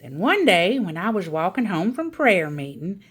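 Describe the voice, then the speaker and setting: A woman reading a children's book aloud as a storyteller, with a dramatic, deliberate delivery. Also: medium pitch, very animated, fairly bright tone, husky. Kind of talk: expressive children's book narration.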